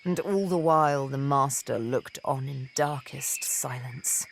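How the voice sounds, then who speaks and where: A woman playing a seductive, vengeful elven assassin and former slave in a video game, speaking in a deliberate, theatrical, teasing manner. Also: rumbling low, gravelly, slight background noise, exaggerated voice. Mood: haunted, bitter. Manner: deliberate, teasing, dramatic storytelling with pauses. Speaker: video game companion character.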